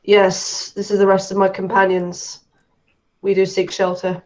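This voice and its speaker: A woman's voice: mid-range voice, completely flat delivery, a little slow, close to an everyday voice. A woman voicing a guarded dwarf adventurer who speaks formally and in clipped lines. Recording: dull lo-fi mic.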